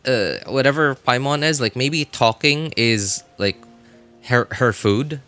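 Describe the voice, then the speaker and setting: A man chatting casually as a live game streamer, rambling and halting as he often repeats himself. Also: medium pitch, sharp tone, clean tone, soft background music.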